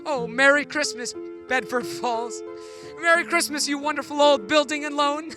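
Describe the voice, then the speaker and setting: An earnest small-town family man played by a young male actor in a live radio play. His voice sits high and is full of feeling, with music clearly behind him. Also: steady tone, moderate pace. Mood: overjoyed. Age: young adult.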